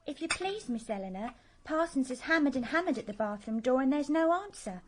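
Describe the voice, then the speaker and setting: A timid housemaid in a period radio drama. She speaks in a high, hesitant, deferential voice over faint noise. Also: expressive, fairly quick. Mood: timid, anxious.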